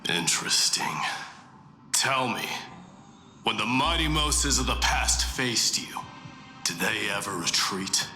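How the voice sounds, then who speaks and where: A haughty, honorable king of war for a fantasy game. His delivery is forceful and swings widely in pitch, scornful and commanding, echoing over faint music. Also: expressive, heavily acted. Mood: scornful, cool. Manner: imperious, deliberate, taunting. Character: proud warrior king, demigod of strife, scornful yet honorable. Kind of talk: dramatic video game voice acting. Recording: echoey room sound.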